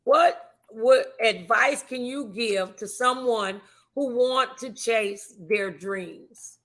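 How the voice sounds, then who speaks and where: A woman hosting a faith-based interview show, speaking in an encouraging, affirming, gently preachy way. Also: a little high, takes it slowly. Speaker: talk show host.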